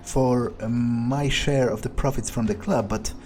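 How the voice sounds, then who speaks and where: A man roleplaying a surly, foul-mouthed vampire during a game, musing haltingly to himself in character. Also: mid-range voice, fairly even intonation, a little mellow, soft background music, natural voice. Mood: brooding, musing. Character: surly, foul-mouthed, self-serving male vampire, broodingly thoughtful. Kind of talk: in-character gameplay monologue.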